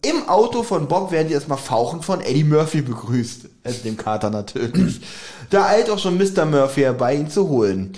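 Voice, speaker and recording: A young man co-hosting a casual podcast, talking about an audio drama with a teasing, joking manner. His voice sits a little high for a man, and he laughs often between remarks. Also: very animated, fairly quick, hardly any pauses, darkish tone. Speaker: podcast co-host.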